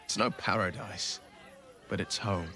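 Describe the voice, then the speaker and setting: An actor playing an earnest, noble young adventurer in a video game, with a heartfelt and eloquent delivery. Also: male voice, sits low, fairly even intonation, a little slow, sharp tone, some chatter behind, a little hiss or hum. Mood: fond, nostalgic. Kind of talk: dramatic video game acting.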